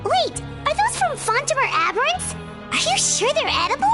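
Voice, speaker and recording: Childlike fairy sidekick for a game: extremely high, squeaky, wildly animated, cheeky and skeptical. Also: female voice, moderate pace, music in the background. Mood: startled, uneasy. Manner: exclamatory, expressive, speaks of self in third person. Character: tiny excitable childlike female fairy companion, cheeky, skeptical. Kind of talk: scripted video game dialogue.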